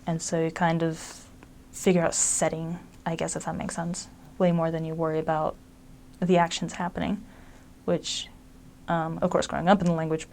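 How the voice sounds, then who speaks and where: A woman's voice: sits low, little pitch movement, moderate pace, darkish tone, slight background noise. A young woman giving casual advice about living abroad, rambling with lots of ums and likes. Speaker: former resident giving advice.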